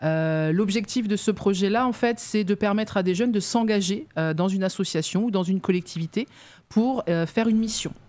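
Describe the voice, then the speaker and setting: A woman's voice: mid-range voice, normal speed, darkish tone. A woman, a guest on local radio, explaining her work at length with many hesitations. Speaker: youth mobility officer, guest. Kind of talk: explaining in an interview.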